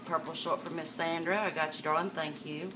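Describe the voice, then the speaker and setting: Older woman host, low voice, chatty live-sale patter, webcam a bit far off with room noise. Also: fairly even intonation, brisk. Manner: chatty, calls viewers darling and miss. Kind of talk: live sales stream, chatting to viewers. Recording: distant mic with background noise.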